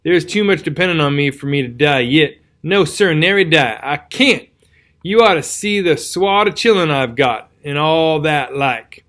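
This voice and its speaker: A man's voice: a little high, lively intonation, a little slow, a bit bright. A man doing a rambling wounded country soldier. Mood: boastful. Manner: folksy, rambling, garrulous. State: weak from a wound, slurring. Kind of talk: audiobook character reading.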